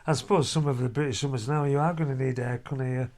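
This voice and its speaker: A man's voice: medium pitch, fairly even intonation, fast talker, a little mellow. A middle-aged man, a father chatting casually in a family vlog from a hotel room, rambling and down-to-earth. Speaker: vlogger, father.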